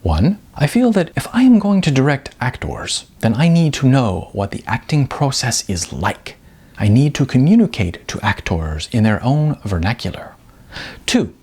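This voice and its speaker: A man's voice: mid-range voice, a little mellow, slightly rough, heavily acted. A man voicing a shy but pretentious amateur writer-director in an audiobook, with stilted, affected, pompous phrasing. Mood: earnest, stilted.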